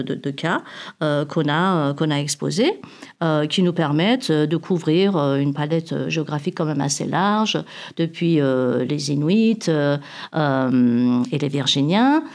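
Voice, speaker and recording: Hesitant academic woman, lowish voice. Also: a little mellow. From Iran. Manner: hesitant, many fillers and restarts. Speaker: university researcher. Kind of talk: academic interview answer.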